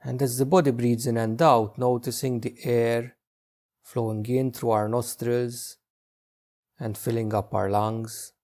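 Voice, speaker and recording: Slow, mellow man guiding meditation. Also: mid-range voice, flat delivery. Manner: gentle, unhurried instruction with long pauses. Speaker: meditation teacher. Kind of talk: guided meditation.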